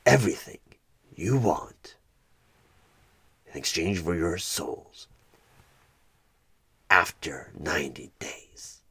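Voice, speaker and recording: A man playing a sinister, smug devil of a recruiter in a horror ASMR roleplay, coaxing and condescending, with a gravelly voice that often slips toward a whisper. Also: low-pitched, very animated, sharp tone, full character voice. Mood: coaxing, menacing. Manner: slow, deliberate, coaxing, condescending. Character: sinister devilish male recruiter, smug soul-buying tempter. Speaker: roleplay performer playing a job interviewer. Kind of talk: one-sided horror ASMR audio roleplay.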